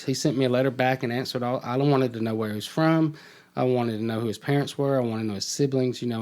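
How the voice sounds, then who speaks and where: A man's voice: mid-range voice, darkish tone. A middle-aged man, a podcast guest, telling his own story in a casual way and acting out remembered dialogue.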